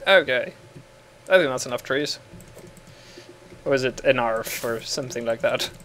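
A man's voice: medium pitch, normal speed, a bit bright, slight background noise. Brief: English man, casual gaming banter, giggly and rambling, faint typing behind. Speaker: co-host playing a game.

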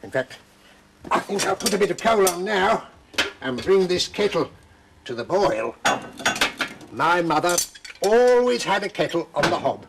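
A man's voice: sits high, big pitch swings, measured pace, vocal fry, long pauses, background noise, heavily acted. An older actor playing a kindly grandfather who patiently explains old times to children, in a children's TV drama. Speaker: grandfather who does magic. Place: kitchen set, indoors.

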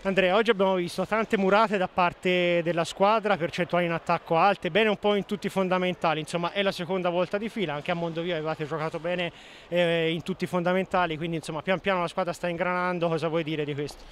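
A sports reporter whose male voice sits high. He asks long, rambling questions, piling up stats before he gets to the point, with faint noise behind. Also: steady tone, fast, fairly bright tone. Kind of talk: post-match interview questions.

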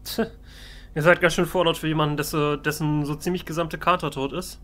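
Man, let's play host, in-character commentary, slightly high pitch, bright punchy voice, joking mock indignation, faint game sounds behind. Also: fairly even intonation, fairly quick. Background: video game sounds.

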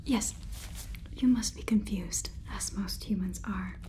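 A woman in her twenties doing a whispered ASMR role-play as a polite, curious AI robot overlord, speaking softly and haltingly close to the microphone. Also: medium pitch, slight background noise. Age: 20s. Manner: soft, halting, deliberate whisper. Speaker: ASMR performer. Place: small room indoors, close up. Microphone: close sensitive microphone.

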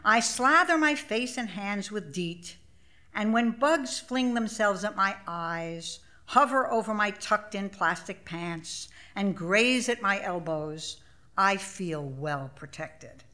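A woman in her seventies reading her own essay aloud at a live event, measured and expressive with a wry delivery. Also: mid-range voice. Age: 70s. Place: small theater stage, live event. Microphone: stage microphone.

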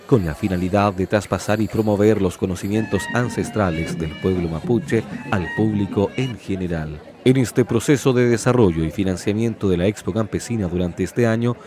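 A Chilean man reading a formal news voice-over about a rural fair. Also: lowish voice. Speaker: news narrator.

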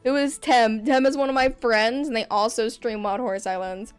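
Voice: mid-range voice, steady tone, moderate pace, clean tone, faint music behind. A young adult streamer chatting casually over gameplay in their bedroom, rambling and laughing often. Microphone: desk microphone.

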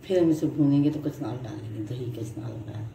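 A middle-aged woman walks through a home cooking recipe in a plain, homely way, naming each ingredient and step. She sounds roomy and echoey, as if in a hard-walled kitchen, with steady background noise underneath. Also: extremely low pitch, fairly quick. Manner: plain, homely instructional talk. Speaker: home cook. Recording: roomy, noisy home recording.

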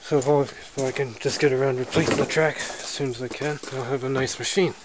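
A man's voice: mid-range voice, fast talker. Plainspoken man on noisy camera audio. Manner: relaxed, plainspoken, matter-of-fact. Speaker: hobbyist showing his snowmobile. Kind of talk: casual walkaround narration. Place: indoors, a garage or shed with windows. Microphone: handheld camera held by the speaker.

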